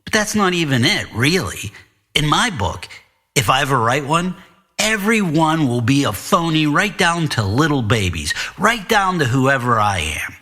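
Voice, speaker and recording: A man reading scripted narration as an author and podcast host, in a measured, wry manner. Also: on the high side, very animated, bright, forceful tone. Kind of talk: scripted first-person fiction narration.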